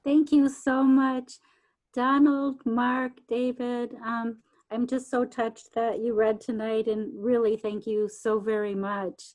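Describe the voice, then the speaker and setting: A woman's voice: fairly high pitch, little pitch movement, slow, darkish tone. A woman hosting a live online reading, speaking informally over a video call. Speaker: host of poetry reading event.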